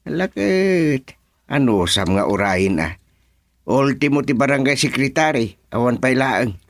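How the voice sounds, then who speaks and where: A man's voice: medium pitch, animated, measured pace, no room sound. A man with an Ilocano accent playing a grumbling, strict village chairman in a radio comedy drama. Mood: exasperated. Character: exasperated male village chairman, strict about absent officials.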